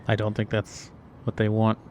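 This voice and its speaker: A man's voice: on the low side, monotone, moderate pace, darkish tone, a little hiss or hum. A man playing a tabletop role-playing game, chatting casually and tossing in joking, conversational asides. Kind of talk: casual chat and improvised role-play.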